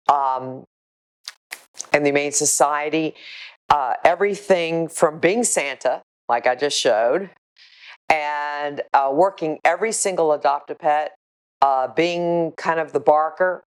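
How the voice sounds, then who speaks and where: Older woman, low and unhurried, earnest and firm, a volunteer talking to camera. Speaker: animal shelter volunteer. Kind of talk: casual spoken account to camera, showing photos.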